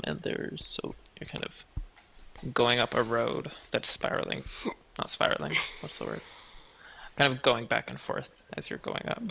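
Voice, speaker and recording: A man narrating a tabletop game as game master, halting and trailing off, with a gravelly, husky voice. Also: mid-range voice, bright, forceful tone, low-quality recording. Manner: halting, with trailing, unfinished phrases.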